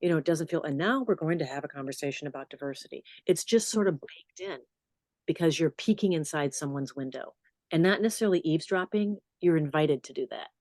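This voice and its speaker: A middle-aged woman journalist with a low voice, answering interview questions in a storytelling manner with animated asides. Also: a little fast, no room sound. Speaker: journalist and podcast host.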